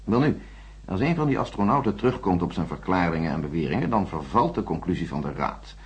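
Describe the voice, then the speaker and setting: An actor playing a coolly official, condescending chief space engineer with a husky voice and a formal, patronising way of talking. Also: male voice, low voice, sing-song, fast, exaggerated voice. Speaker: radio drama actor. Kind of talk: radio play dialogue.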